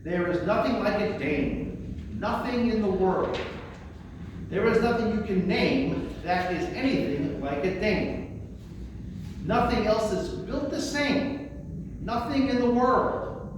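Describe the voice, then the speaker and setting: Male poetry reader, notably high voice, theatrical emphasis, heard from a distance in a very reverberant space. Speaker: librarian presenting a poetry reading. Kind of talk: poetry recitation with spoken introductions. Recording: roomy echo, distant mic, faint noise.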